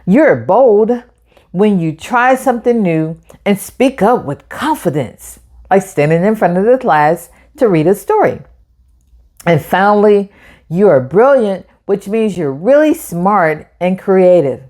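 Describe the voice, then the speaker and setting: Slow-paced, encouraging woman storyteller. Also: medium pitch, expressive, a little mellow. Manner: encouraging, addresses young listeners directly, asks questions. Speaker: host of children's read-aloud storytime. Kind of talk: children's storytime, teacherly retelling with questions.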